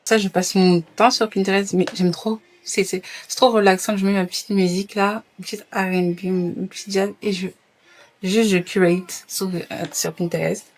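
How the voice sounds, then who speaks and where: A woman's voice: mid-range voice, fairly even intonation, moderate pace. A young adult woman chatting casually in a vlog, dropping in English phrases as she talks. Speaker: vlogger.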